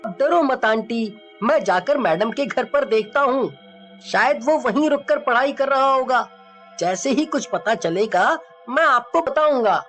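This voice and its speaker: An earnest schoolboy, played by a woman, with a high voice and very slow, deliberate phrasing. He sounds concerned and sincere, in a horror storybook style over faint music. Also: expressive, a bit bright. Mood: reassuring. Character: earnest schoolboy, worried but trusting, reassuring.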